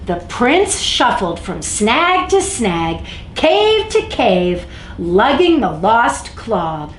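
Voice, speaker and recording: A woman's voice: a little high, big pitch swings, very slow. A woman hosting a children's story time, reading aloud expressively and speaking directly to young listeners. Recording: echoey room, faint background noise.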